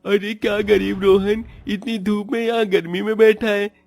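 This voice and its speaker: A man's voice: high voice, little pitch movement, moderate pace, gravelly, a little mellow, no echo, soft background music. A man voicing a boastful rich village boy with a mocking, gloating manner in a cartoon story.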